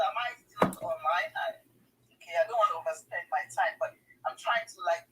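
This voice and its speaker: A woman's voice: on the high side, fairly bright tone, distant mic, low-quality recording. A woman from Nigeria with a Nigerian accent speaks casually and emphatically over a video call, often repeating words and addressing her listeners directly. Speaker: guest sharing her migration story. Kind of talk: casual advice to international students.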